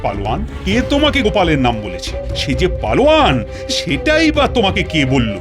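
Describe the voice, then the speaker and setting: A man voicing an excitable, easily flustered Indian king in a cartoon, theatrical and full of exclamations. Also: high voice, fairly quick, over music. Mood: alarmed, suspicious. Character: excitable, flustered Indian king fretting over his courtier. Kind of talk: Bengali cartoon dialogue.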